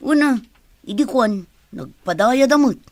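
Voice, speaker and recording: High-voiced, evasive village woman. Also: expressive, a little slow, a little mellow, no echo. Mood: defensive. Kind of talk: Filipino radio drama acting.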